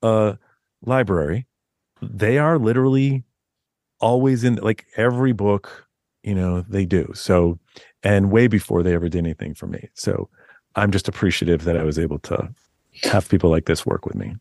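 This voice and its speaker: A man with a deep voice, a guest author talking in a loose, rambling way with many restarts. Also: normal speed, darkish tone, dry recording, studio quality. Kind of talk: casual interview chat.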